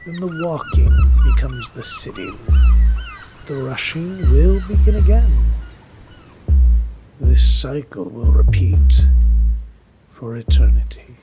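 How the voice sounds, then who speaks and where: A man reading a short story as a dramatic, deliberate and expressive narrator, in literary style. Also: fairly high pitch, lo-fi sound. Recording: prominent music under the voice. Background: music.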